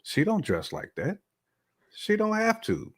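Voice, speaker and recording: A man's voice: high-pitched, huge pitch swings, unhurried. An American man co-hosting a casual, opinionated chat, speaking bluntly in slang and repeating phrases for emphasis. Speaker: podcast co-host.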